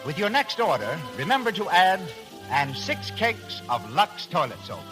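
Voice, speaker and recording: A high-pitched man works as a 1930s radio announcer, polished and declamatory in his delivery. Music plays clearly behind him on the old broadcast recording. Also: big pitch swings, measured pace, rough recording.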